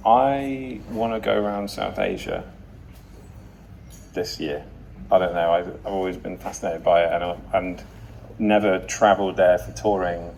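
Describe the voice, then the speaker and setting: A man's voice: low-pitched, big pitch swings, normal speed, creaky, a little mellow, a little hiss or hum, low-quality recording. A man in his thirties, an interview guest, talking casually with a dry, wry humour. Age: 30s.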